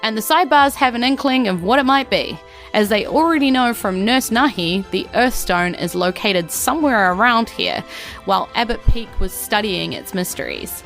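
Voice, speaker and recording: An excitable, playful woman with a New Zealand accent, hosting and narrating a tabletop game podcast in a theatrical, chatty way. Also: fairly high pitch, moderate pace, faint music behind. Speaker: podcast host and game master.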